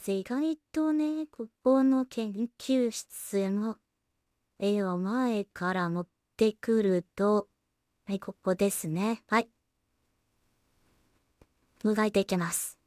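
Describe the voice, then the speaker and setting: A woman talking casually to herself and her viewers as a solo creator at work, musing in an unhurried way and letting remarks trail off. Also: mid-range voice, a little mellow, no room sound, clean studio sound. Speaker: solo animation creator and streamer. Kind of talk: casual work-along commentary, thinking aloud.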